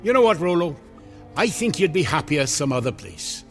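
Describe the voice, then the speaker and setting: Excitable high-voiced folksy janitor. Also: male voice, moderate pace, soft background music. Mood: easygoing. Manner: folksy, animated, stammering when flustered. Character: excitable, good-natured male janitor, folksy underdog. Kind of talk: vintage TV comedy acting.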